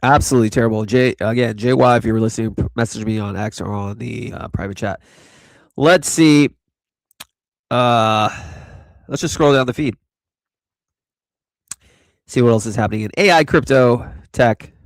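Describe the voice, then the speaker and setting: A man's voice: medium pitch, normal speed, long pauses, no room sound. A man hosting a casual live crypto chat, rambling and laid-back with lots of fillers, stuffy from allergies. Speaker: host.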